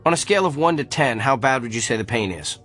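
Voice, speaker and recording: A man's voice: medium pitch, expressive, fast, fairly bright tone, soft background music, close to an everyday voice. A man acting in a radio drama, playing an arrogant, self-assured and brusque doctor. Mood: brisk, clinical. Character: brilliant, arrogant, tactless male doctor. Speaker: actor.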